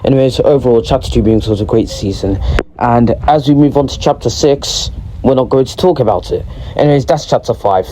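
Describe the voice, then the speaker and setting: A man's voice: mid-range voice, fairly quick, a little hiss or hum, low-quality recording. Sick young man rambling about a game. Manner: rambling, excitable, self-correcting. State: sick, voice deeper than usual. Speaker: gaming video creator. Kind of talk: casual gaming commentary.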